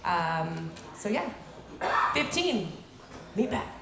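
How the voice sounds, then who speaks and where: A woman's voice: lowish voice, very animated, slow pace, vocal fry. A woman host with a stuffy voice from a cold, making loose, chatty announcements from a live music hall stage. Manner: chatty, loose, filler-heavy. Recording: echoey hall with loud crowd noise.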